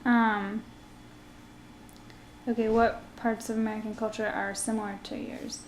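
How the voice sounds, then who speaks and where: A woman's voice: on the high side, level tone, a little slow, echoey room, slight background noise, poor audio. A young woman asking interview questions casually and encouraging answers with mm-hmms.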